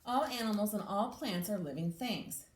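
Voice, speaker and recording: An echoey room carries the voice of a woman with a middle-pitched tone. She reads to young children as a teacher does, slipping between the book's sentences and her own plain explanations, often putting questions to her listeners. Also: expressive, moderate pace, slight background noise. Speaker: English language teacher reading aloud to children. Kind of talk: children's picture book read-aloud with informal explanations.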